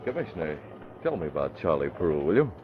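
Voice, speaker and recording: An actor playing a shrewd, probing newspaper publisher in 1940s radio style, with an inquisitive, purposeful manner. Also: male voice, a bass voice, big pitch swings, quick pace. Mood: curious, probing. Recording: muffled old radio broadcast.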